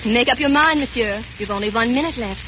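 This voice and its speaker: An actress playing a shrewd, coaxing young princess in old radio comedy style. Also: female voice, a little high, brisk, natural voice. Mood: shrewd bargaining. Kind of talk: 1930s-40s radio comedy acting. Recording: noisy, muffled old radio recording.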